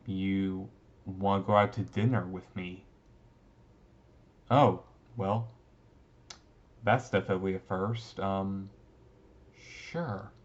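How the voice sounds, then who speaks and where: Casting: man as emo goth vampire classmate, shy and sweetly smitten, lowish voice, slightly muffled lo-fi audio, romance roleplay. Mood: surprised, hesitant. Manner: hesitant, bashful, casual, teasing. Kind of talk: romantic roleplay audio drama, talking to listener.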